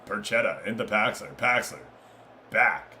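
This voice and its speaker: A man's voice: on the low side, animated, unhurried, sharp tone, a little hiss or hum. A man doing live, informal play-by-play of a football game, chatting casually with viewers between passes. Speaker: streamer commentating a football management game.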